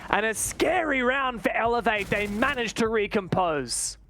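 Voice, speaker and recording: A man commentating an esports match live, play by play, in an excitable manner. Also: sits high, measured pace, gravelly, a little hiss or hum. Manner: excitable, exclaiming at big plays.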